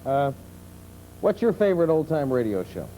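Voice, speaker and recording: A man hosting a talk show, putting halting, musing questions to his guest in a conversational way. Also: a little high, sing-song, mellow. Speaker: talk show host, interviewer. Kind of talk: conversational interviewing. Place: television studio with audience. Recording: muffled 1970s television tape with hum.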